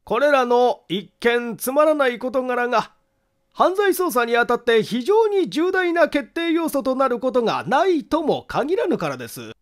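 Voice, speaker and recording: A man's voice: extremely high pitch, very animated, heavily acted. A man voicing a meticulous amateur detective in an audiobook, methodically and formally laying out his reasoning. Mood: methodical, confident. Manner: deliberate, formal, methodical explaining. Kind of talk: acted audiobook monologue.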